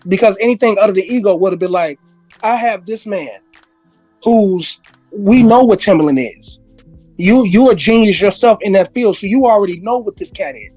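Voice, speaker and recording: A hoarse man, a music industry veteran, talking as a podcast guest, rambling through stories, repeating himself and laughing often. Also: sits high, normal speed, a little mellow, no room sound. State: hoarse, losing his voice. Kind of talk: casual interview conversation.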